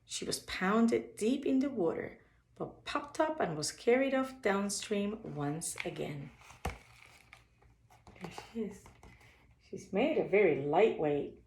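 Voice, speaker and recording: A woman's voice: on the low side, darkish tone, a little hiss or hum. A woman reading a children's bedtime story aloud as a storyteller, expressively, as if for young listeners. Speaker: storyteller reading a picture book. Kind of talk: read-aloud bedtime story with short asides on the pictures. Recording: echoey, roomy sound.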